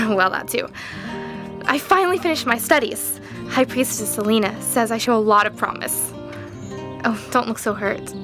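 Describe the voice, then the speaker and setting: A woman's voice: on the high side, normal speed, over music, lightly acted. A woman playing an earnest, headstrong young sorceress in a fantasy audio drama. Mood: tender, pleased.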